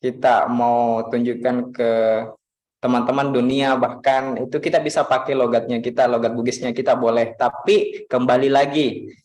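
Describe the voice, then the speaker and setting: A man from Makassar, Indonesia, teaching an online English lesson in a relaxed way, with lots of fillers and repeated phrases. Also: medium pitch, moderate pace, roomy sound, rough recording.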